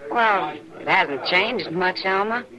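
A woman's voice: on the low side, expressive, slow pace, dull audio, crowd noise. An actress playing a friendly, worldly saloon woman in an old radio western, with an easygoing, familiar manner. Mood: thoughtful. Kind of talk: 1950s radio western acting.

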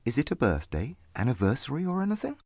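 Woman putting on a very deep, courteous husband. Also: wildly swooping pitch, a little mellow, husky, no echo, studio quality, heavily acted. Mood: pleasantly surprised. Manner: courteous, measured, formal. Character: John, mild old-fashioned husband and firm young father.

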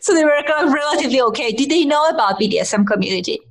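A woman's voice: high voice, lively intonation, rapid-fire, no room sound. A woman from Iran hosting a conversational interview in an affirming, validating manner. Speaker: host.

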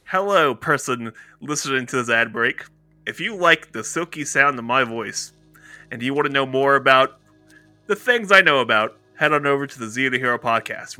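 Very bright-voiced man promoting a podcast. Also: mid-range voice. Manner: playful, tongue-in-cheek.

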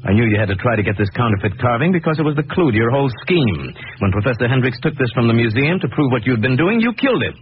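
An actor playing a calm, principled crime-fighting hero in a 1940s radio drama, speaking with deliberate authority. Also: male voice, medium pitch, natural voice. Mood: confident explaining. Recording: tinny, noisy 1940s radio recording.

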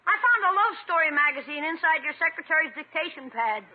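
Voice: high-pitched, animated, fast talker. An actor playing a cheeky, wisecracking schoolboy nephew in a 1950s radio sitcom. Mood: cheeky teasing. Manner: cheeky, teasing, casual. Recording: noisy narrowband 1950s radio recording.

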